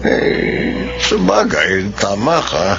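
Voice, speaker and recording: A reflective older man in a radio drama, calm and sympathetic. He speaks slowly in a deep voice, in dull sound with loud music behind. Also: exaggerated voice. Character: calm aging male friend, father, reflective and sympathetic. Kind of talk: Filipino radio drama acting.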